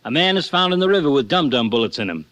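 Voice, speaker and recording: Skeptical vintage-radio policeman, gruff and stubborn, slightly high pitch with lively swings. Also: fast talker. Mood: certain, insistent. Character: skeptical, exasperated male police official, stubborn. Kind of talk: 1940s radio detective acting.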